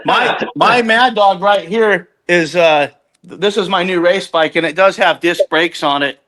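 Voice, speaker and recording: Fifties guy on a podcast, high bright voice, rambles and restarts a lot. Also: unhurried. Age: 50s. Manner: rambling, self-interrupting storyteller. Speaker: podcast guest. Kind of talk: casual chat.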